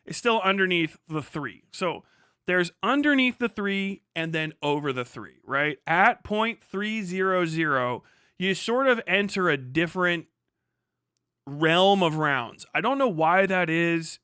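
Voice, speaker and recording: A man's voice: high voice, expressive, measured pace, bright, forceful tone, clean tone, no room sound, studio quality. A man hosting a livestream, explaining things casually in a rambling, sweary way with asides to himself. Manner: rambling, self-interrupting asides. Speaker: livestream host.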